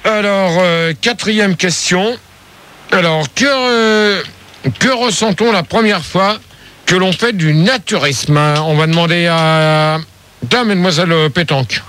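A man's voice: high-pitched, measured pace, fairly bright tone. A man hosting a comic radio call-in debate, hesitant and full of fillers, scolding his callers.

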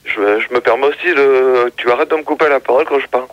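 A man's voice: mid-range voice, fast, no echo, muffled recording, lightly acted. A man voicing a talkative male guest who says he is from Spain and keeps arguing and interrupting. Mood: argumentative.

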